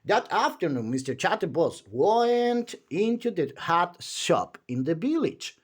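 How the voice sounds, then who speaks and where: A man reading a children's storybook aloud in an expressive, theatrical manner. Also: high voice, measured pace, husky. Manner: animated, theatrical.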